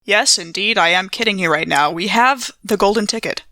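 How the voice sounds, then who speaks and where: A woman's voice: mid-range voice, normal speed, background noise. A woman playing a tabletop role-playing game, chatting casually and thinking aloud in a playful way. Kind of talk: casual improvised role-play and table chat.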